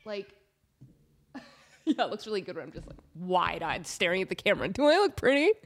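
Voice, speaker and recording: A woman's voice: on the low side, big pitch swings, fairly quick. A woman in her thirties who hosts a podcast, chatting casually with a stuffed-up, nasal voice, laughing often and acting out little quotes. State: stuffed-up nose. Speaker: podcast host. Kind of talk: casual chat.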